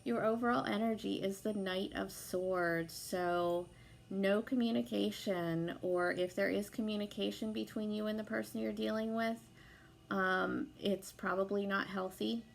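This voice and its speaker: A young woman with a hoarse voice from being sick, chatting casually as she gives a tarot reading, with lots of fillers and some swearing. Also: mid-range voice, steady tone, measured pace, a little hiss or hum. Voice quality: hoarse, gravelly. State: sick, losing her voice. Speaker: tarot reader.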